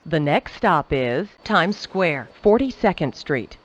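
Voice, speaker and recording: A woman giving recorded train announcements in a measured, formulaic announcer delivery. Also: low-pitched, very animated, highs cut off. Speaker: transit announcer.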